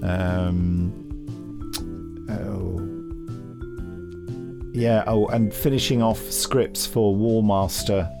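Male podcast host, lowish voice, jokey and digressive, clear music bed behind. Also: steady tone, very slow, long pauses. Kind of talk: casual rambling chat.

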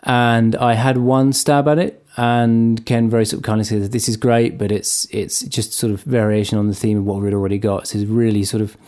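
A man being interviewed as a guest composer, answering thoughtfully with frequent pauses and fillers. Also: lowish voice, level tone, a little mellow. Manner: thoughtful, hesitant, many fillers.

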